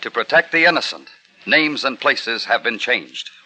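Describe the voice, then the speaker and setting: A man's voice: medium pitch, expressive, moderate pace, heavy background noise. A man announcing a 1950s radio drama with a formal, dramatic delivery. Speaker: show announcer.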